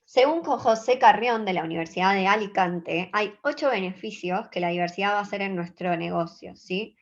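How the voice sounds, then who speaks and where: Woman in her twenties, Argentine accent, conference talk, earnest, slightly dull lo-fi audio. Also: medium pitch. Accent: Argentine Spanish. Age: 20s. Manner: earnest, measured, engages the audience with short questions. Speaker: conference speaker.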